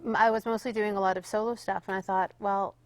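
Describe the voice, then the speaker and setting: A woman's voice: mid-range voice, little pitch movement, quick pace. A woman with a Canadian accent chatting informally as an interview guest, peppering her talk with "like". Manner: informal, says "like" often. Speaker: guest musician. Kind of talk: casual interview chat.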